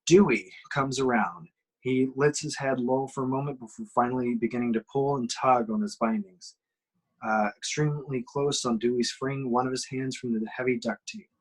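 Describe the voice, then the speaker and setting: A man's voice: medium pitch, moderate pace, breathy edge, rough recording. A man giving emphatic, suspenseful scripted narration as he reads action descriptions at an amateur table read.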